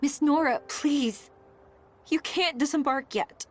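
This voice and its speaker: An actress voicing an earnest, insistent young train crew member in an anime-style game, pleading and emphatic. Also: female voice, high-pitched, slow. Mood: earnest insistence.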